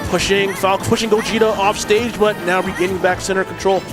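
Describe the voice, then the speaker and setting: A young man from Toronto doing energetic, joking live esports commentary. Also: sits high, fairly quick, over music. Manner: energetic, joking, hypes plays.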